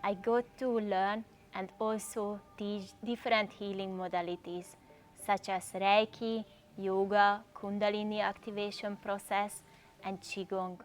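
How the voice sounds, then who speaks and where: Even-toned woman. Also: mid-range voice, measured pace, faint music behind. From Hungary. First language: Hungarian. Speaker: energy healer. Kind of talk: scripted self-introduction.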